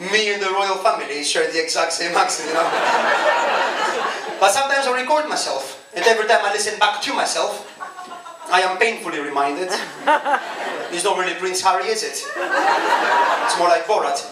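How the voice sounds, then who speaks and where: A man's voice: sits high, moderate pace, pauses often, heavy background noise. A young man with a strong Greek accent doing a stand-up comedy set in a self-mocking, chatty way. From Greece. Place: comedy club stage. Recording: echoey club from far off, loud ambience. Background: audience laughter.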